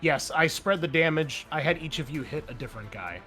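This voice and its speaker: A man's voice: a little high, animated, fairly quick, sharp tone. Casual male game master over music. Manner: informal, wry asides, swears casually. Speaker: game master and host of an actual-play show. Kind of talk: casual tabletop game narration. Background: background music.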